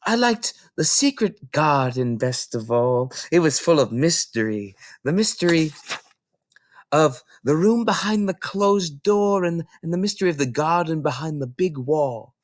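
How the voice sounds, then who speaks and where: A man's voice: on the high side, big pitch swings, measured pace, no room sound, studio quality, pitched above the performer's own voice. A man voicing a polite, earnest and precocious four-year-old girl who loves books.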